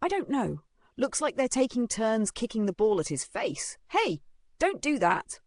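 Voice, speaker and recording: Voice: sing-song, a little slow, no echo, clean studio sound. A narrator voicing gossiping, mocking teenage schoolgirls in a dramatized story. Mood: bored. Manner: high, gossipy.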